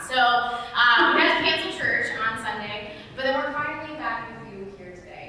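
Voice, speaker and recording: Bright-voiced woman preaching in an echoey hall. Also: mid-range voice. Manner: casual, chatty, laughs easily. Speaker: pastor. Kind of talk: sermon. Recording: echoey hall audio from far off with faint noise.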